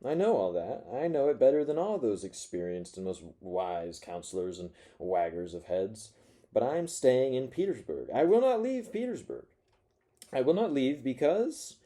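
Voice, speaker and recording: A man reading aloud as a spiteful, self-mocking forty-year-old who rants from his corner, swinging between low mutters and loud sardonic outbursts. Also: big pitch swings, normal speed. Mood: sardonic ranting. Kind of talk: first-person audiobook reading.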